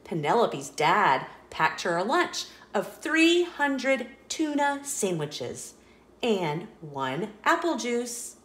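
A woman reading a children's picture book aloud in a playful, expressive storytelling voice, indoors. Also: medium pitch, slow pace. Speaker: storybook reader. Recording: roomy echo.

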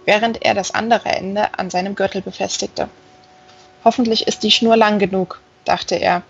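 A woman narrates a novel from a script in a mid-range voice with a gravelly creak. The recording is a little dull and lo-fi, with music faintly underneath. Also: mid-range voice. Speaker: audiobook reader.

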